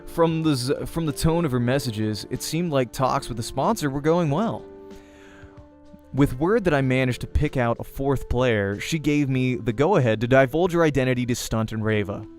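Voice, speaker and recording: A man reading a visual novel aloud as a let's play host, in an expressive storytelling manner. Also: mid-range voice, normal speed, faint music behind. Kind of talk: narrating a visual novel aloud, acting dialogue.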